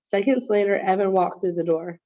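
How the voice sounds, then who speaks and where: A woman narrates a storybook aloud at a middle pitch. The recording is close and dry, with a slightly dull, lo-fi sound. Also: normal speed, dark tone, no room sound.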